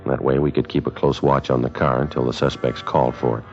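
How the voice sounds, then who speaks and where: An American actor playing a terse, businesslike police sergeant in a 1950s radio drama, clipped and matter-of-fact. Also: male voice, a bass voice, flat delivery, fast talker, darkish tone, faint music behind. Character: terse, businesslike male police sergeant, narrating his case. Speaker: detective and narrator. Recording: dull vintage 1950s radio broadcast.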